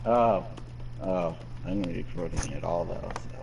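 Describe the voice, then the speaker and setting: A man's voice: deep voice, moderate pace, gravelly. A man in casual voice chat, mocking and insulting his friends and giggling. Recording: dull lo-fi mic with faint hiss.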